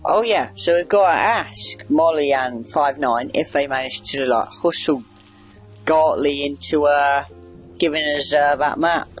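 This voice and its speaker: A man's voice: a little high, unhurried, soft background music, low-quality recording. A man giving casual, rambling video game commentary, wry and chatty as he reacts aloud. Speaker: gamer doing a video game playthrough.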